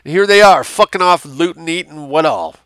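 A man's voice: on the high side, lively intonation, a little fast, a bit bright, clean tone, no room sound, pitched above the performer's own voice. A man voicing a brash, foul-mouthed American civilian liaison in a war novel, with a folksy, bullying drawl. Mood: irritated.

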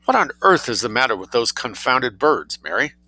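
A man as English colonel, storybook, indignant, blustering, loud and sharp, raised pitch. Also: very animated, normal speed, exaggerated voice. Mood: exasperated. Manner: thundering, indignant, demanding.

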